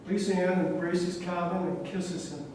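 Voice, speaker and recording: A man's voice: high-pitched, steady tone, normal speed, darkish tone, close to an everyday voice. A man reading lines and stage directions at a staged play reading. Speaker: reader of parts and stage directions. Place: echoey room at a live staged reading. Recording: echoey room, far mic, faint noise.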